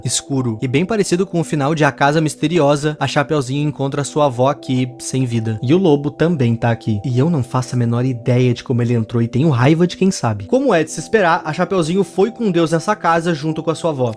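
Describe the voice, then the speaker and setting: A man with a Brazilian accent giving casual, wry, opinionated commentary as a video host reviewing a horror story. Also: fairly high pitch.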